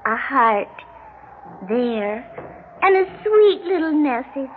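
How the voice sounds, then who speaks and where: An actress playing a fretful, affectionate, childlike daughter in a 1960s radio drama, speaking in a prim, melodramatic way. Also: female voice, high voice, big pitch swings, takes it slowly, full character voice. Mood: wistful. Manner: melodramatic, prim, old-fashioned turns of phrase. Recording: muffled, noisy 1960s radio recording.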